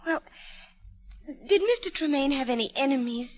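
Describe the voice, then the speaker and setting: High-voiced, earnest young radio heroine. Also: female voice, expressive, normal speed. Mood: urgent. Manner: pleading, earnest, insistent. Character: distressed, determined young woman defending her father. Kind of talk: 1940s radio melodrama acting. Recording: muffled vintage 1940s radio recording.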